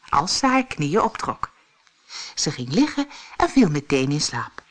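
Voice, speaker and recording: Female storyteller for children's fairy tales: expressive, unhurried, widely varied intonation. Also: on the low side. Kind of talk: scripted fairy tale audiobook narration.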